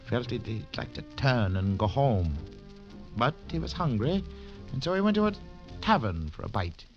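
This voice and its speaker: A man's voice: mid-range voice, big pitch swings, normal speed. Dramatic old-time radio narrator, man. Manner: storytelling, dramatic swings. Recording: dull old radio recording with music.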